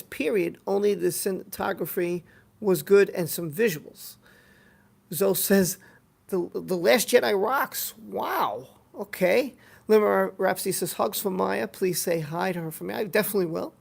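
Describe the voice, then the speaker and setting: A live stream host with a heavily creaky, gravelly voice talks off the cuff to viewers. The delivery is casual and rambling, moving between reading comments aloud and giving opinions, with phrases often repeated for emphasis. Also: mid-range voice, darkish tone.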